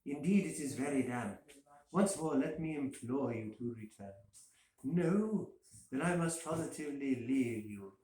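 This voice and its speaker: A man playing a cold, mocking avenger in a gothic horror story, speaking deliberately and theatrically. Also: mid-range voice, lively intonation, dark tone, close to an everyday voice. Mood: mock concern. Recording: roomy echo, faint hiss.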